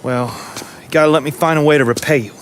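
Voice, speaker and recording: An American actor playing a chummy, eager hustling mechanic for the mob in a cinematic crime game. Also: male voice, fairly high pitch, quick pace, slight background noise, lightly acted. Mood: grateful, chummy. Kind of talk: naturalistic cinematic game acting.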